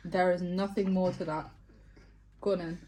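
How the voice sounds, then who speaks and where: A woman's voice: fairly low pitch, fairly even intonation, moderate pace, warm tone, poor audio. A young woman from Bristol with a Bristol accent, a new mother, answering couple's Q&A questions in a dry, teasing, matter-of-fact way. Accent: Bristol English. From Bristol, England. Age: young adult. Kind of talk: casual couple's Q&A chat.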